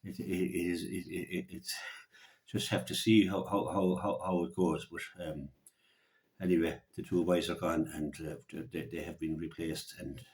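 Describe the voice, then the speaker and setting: Very deep-voiced Irish man talking sport. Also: level tone, darkish tone. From Ireland. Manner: halting, repeats words as he gathers his thoughts. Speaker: local radio sports commentator. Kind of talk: unscripted sports commentary and opinion.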